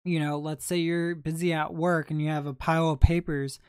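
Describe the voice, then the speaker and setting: A young man hosting a late-night livestream, chatting in a soft, calm, unhurried way. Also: fairly high pitch, clear, resonant tone, dry recording, studio quality. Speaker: livestream host.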